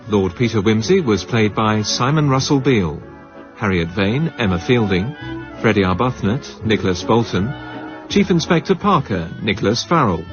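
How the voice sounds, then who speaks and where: Radio announcer: man, scripted credit read, music underneath, slightly dull broadcast sound. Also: lowish voice. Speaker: continuity announcer.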